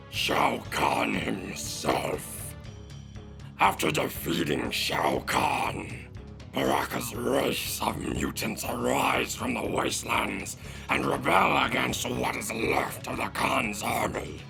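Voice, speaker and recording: A man voicing a savage mutant warrior in slow, menacing narration, with a gravelly, whispery rasp. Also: medium pitch, heavily acted. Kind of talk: dramatic scripted narration.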